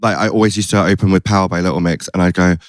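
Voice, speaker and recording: A man's voice: low-pitched, steady tone, very fast, no echo, studio quality. A man hosting a podcast in casual, effusive chat, peppering his talk with "like". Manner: effusive, gushing, frequent "like". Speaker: podcast host.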